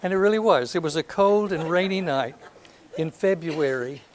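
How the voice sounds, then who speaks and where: An older man from North Alabama with a soft voice, giving a reflective lecture to an audience, hesitant and full of ums, with gentle self-deprecating humor. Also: fairly high pitch, moderate pace, background noise. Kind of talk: last lecture, reminiscence. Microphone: handheld or podium microphone.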